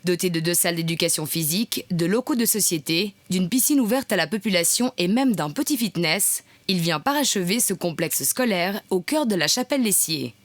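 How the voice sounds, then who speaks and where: A woman's voice: on the low side, expressive, a little fast, hardly any pauses, no room sound. A woman reading scripted narration for a local news report.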